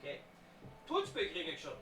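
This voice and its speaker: A man in his forties chatting casually as a live streamer, halting and repeating words as he rambles. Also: a little high, huge pitch swings, over music. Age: 40s. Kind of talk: casual chat with stream viewers.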